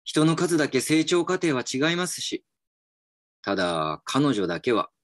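A man's voice: medium pitch, very animated, unhurried, no echo, studio quality. An actor playing a reflective, slightly dejected male employee in a voice drama, polite and musing. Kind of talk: voice drama acting with inner narration. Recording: music behind the voice.

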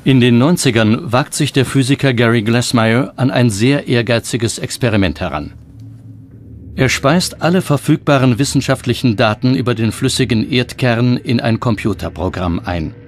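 A man's voice: medium pitch, normal speed, slight background noise. A man reading scripted documentary narration as the narrator. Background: background music.

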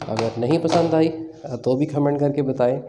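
A man's voice: fairly high pitch, moderate pace, noisy background. A man with a Pakistani Urdu accent hosting a hands-on tech tutorial, chatting casually with fillers as he works with his hands at a table. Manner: casual explanatory, many fillers, describes while working. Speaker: tech channel host.

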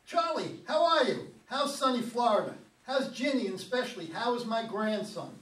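A man's voice: very high-pitched, expressive, normal speed, fairly bright tone. An older man playing a wary, regretful grandfather in a staged, dramatic script reading. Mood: eager, regretful. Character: wary, regretful father and grandfather, a lonely driver. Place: meeting room with long table, indoors. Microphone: far camera. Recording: roomy, distant mic, background room noise.

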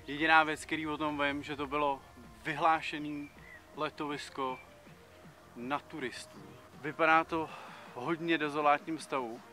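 A man's voice: on the high side, level tone, bright, forceful tone, soft background music. A young man in his twenties giving loose, slangy commentary while walking through an abandoned hotel, filmed close on a handheld camera. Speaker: urbex vlogger. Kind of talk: casual walk-through commentary. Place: abandoned hotel, indoors and on rooftops. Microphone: handheld camera close to face.

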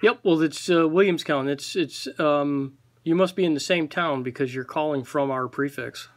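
A man's voice: fairly high pitch, moderate pace. A man having a casual phone conversation, giving brief, matter-of-fact replies to a caller, recorded on a handheld phone. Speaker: call recipient playing along with a scam caller. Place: office, beside a desk phone. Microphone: handheld phone camera.

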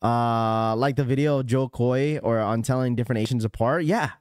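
A man's voice: medium pitch, normal speed, clean tone, no echo. A young man hosting a sneaker livestream from a small room with a close mic, joking loosely, laughing often and mimicking voices. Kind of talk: casual live stream chat. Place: small room with blue lighting, indoors. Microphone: studio mic on a boom arm, close.

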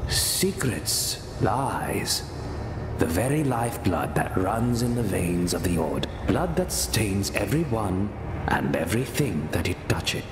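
An actor playing a guarded, bitter man of a corrupt religious order in a video game, speaking in a measured, solemn, theatrical way. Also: low voice, very animated, exaggerated voice. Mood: grave. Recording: echoey hall reverb with noisy ambience.

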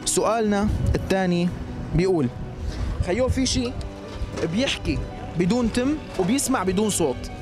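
A man's voice: high voice, animated, music in the background. A young man with a Syrian Arabic accent hosting a cheerful street quiz interview outdoors at a scrapyard, talking into a handheld mic. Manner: fast, cheerful, teasing.

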